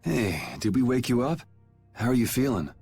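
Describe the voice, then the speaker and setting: An actor playing a cool, guarded young adventurer in a video game, talking in a dry, laconic, casual way. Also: male voice, mid-range voice, sing-song, moderate pace, gravelly, darkish tone, slightly rough, soft background music, exaggerated voice. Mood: gently reassuring. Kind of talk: voice acting in an English video game cutscene.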